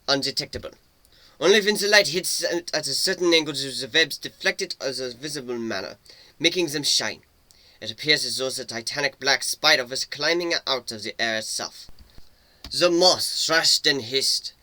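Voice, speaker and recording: A man's voice: a little high, pushed and bright, no room sound, poor audio. A man narrating a fantasy story as a dramatic reading.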